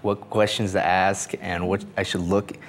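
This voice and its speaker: A young man from Colorado, a graduate student, talking in a relaxed, easygoing way in a group interview. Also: low-pitched, normal speed, a little hiss or hum.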